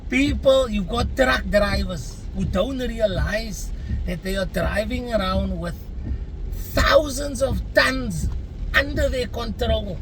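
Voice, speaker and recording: A man's voice: high voice, slow, noisy background. A man with a South African accent, a native Afrikaans speaker, giving an earnest, chatty opinion rant to his viewers. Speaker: vlogger. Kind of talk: casual opinion rant to camera.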